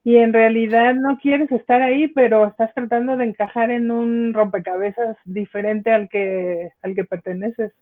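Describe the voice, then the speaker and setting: A man's voice: very high-pitched, steady tone, normal speed, hardly any pauses. A man, a podcast guest, reflecting at length in long, winding sentences. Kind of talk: casual conversation. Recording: tinny phone-like call audio.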